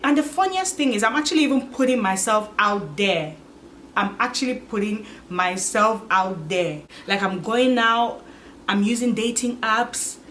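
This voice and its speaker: A woman in her twenties talking candidly to her channel, musing aloud and filling pauses with "like" and "you get it?". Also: mid-range voice, animated, a bit bright, a little hiss or hum. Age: 20s. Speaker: vlogger. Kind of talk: casual confessional vlog.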